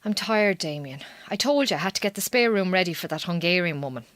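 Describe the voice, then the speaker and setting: An actress playing a weary, guarded Irish farmer's wife in a radio drama, strained and terse, with an Irish accent. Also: female voice, fairly low pitch, animated, fast, fairly bright tone, dry recording. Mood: tired, strained. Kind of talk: naturalistic radio drama dialogue.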